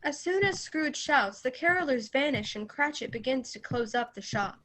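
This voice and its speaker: A woman's voice: high-pitched, lively intonation, normal speed, a bit bright, low-quality recording. A woman reading scripted narration for an amateur radio play, recorded at home over a video call.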